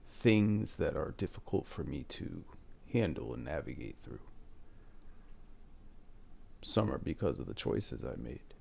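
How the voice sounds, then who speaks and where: A man in his late twenties talks through life advice in a loose, candid way, dropping "man" at the ends of sentences. His voice sits very low and has a gravelly, creaky grain. The delivery is reflective and unscripted. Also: darkish tone. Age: 20s. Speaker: advice channel host. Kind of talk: casual advice monologue.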